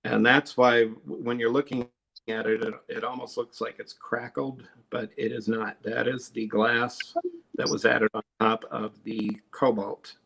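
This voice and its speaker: A man's voice: medium pitch, little pitch movement, unhurried, gravelly, a bit bright, slightly rough, low-quality recording. A man on a live online sale, chatting casually as he shows and explains his glass pieces. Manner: chatty, explains techniques while showing pieces.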